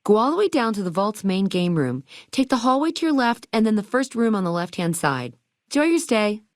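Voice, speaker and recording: A woman's voice: mid-range voice, very animated, fairly quick, no room sound, studio quality, natural voice. A woman playing a perky, eager and quirky hotel keeper in a video game, chatty and full of chirpy salesmanship and playful old-fashioned slang. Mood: chirpy, welcoming. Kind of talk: video game dialogue lines.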